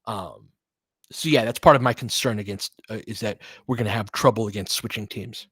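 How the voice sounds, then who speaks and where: A man's voice: lowish voice, brisk, fairly bright tone, husky, no room sound. A man hosting a casual live basketball stream, mixing play-by-play with conversational, slangy analysis as he thinks aloud. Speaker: host and play-by-play commentator.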